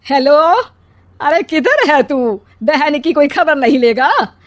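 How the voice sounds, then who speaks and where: A woman's voice: high-pitched, expressive, slow pace. A woman playing a bitter, plotting older mother-in-law in a cartoon story, whining and scolding dramatically. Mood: aggrieved. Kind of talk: Hindi cartoon story acting.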